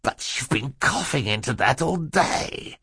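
A man performs a storybook villain in disguise, indignant and evasive. He blusters and protests with big swings of pitch, recorded dry and close. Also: animated, measured pace, bright, forceful tone, husky, no room sound, exaggerated voice.

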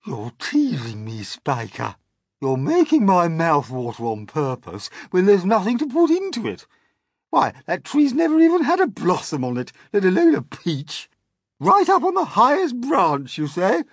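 A man's voice: high voice, huge pitch swings, a little slow, breathy edge, dry recording, clean studio sound, voice pushed up, heavily acted. A man voicing a greedy, scoffing, bossy middle-aged aunt who blusters and exclaims in a children's storybook. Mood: astonished delight. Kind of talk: dramatic children's storybook character reading.

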